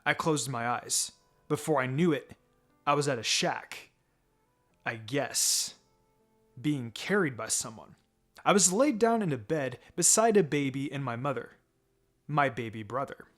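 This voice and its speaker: A man reading fan fiction as a dramatic first-person narrator, with halting pauses and background music. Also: mid-range voice, animated, a bit bright. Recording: music behind the voice.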